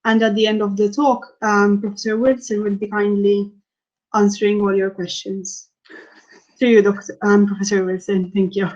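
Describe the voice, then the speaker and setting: A woman's voice: medium pitch, little pitch movement, moderate pace, darkish tone. A woman moderating an online session, introducing the speaker politely with some hesitation. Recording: dull lo-fi audio.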